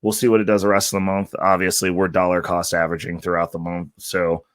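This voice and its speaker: A man hosting a casual vlog, hoarse from losing his voice, talking in an upbeat, loose, rambling way. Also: low-pitched, monotone, fast, dry recording. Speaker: channel host. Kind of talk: casual market-update vlog.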